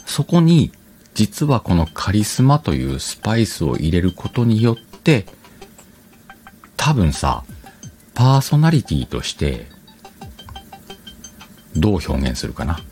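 A man's voice: lowish voice, huge pitch swings, unhurried, pauses often, darkish tone, faint music behind. A man hosting a casual advice talk, explaining conversationally and questioning his own phrasing as he thinks aloud. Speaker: podcast host.

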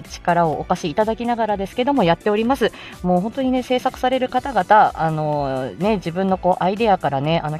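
A woman hosting a chatty morning livestream, speaking politely in a bubbly, thankful manner. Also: medium pitch. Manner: polite, bubbly, effusively thankful, laughs often. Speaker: host of a live morning audio stream, voice actor. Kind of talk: chatty livestream talk to listeners.

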